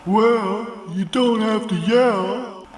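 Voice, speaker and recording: A man voicing a drowsy, hard-of-hearing old moon who mishears and drawls slowly in a storybook reading. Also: sits high, echoey room, voice pushed up, poor audio. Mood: confused grumbling.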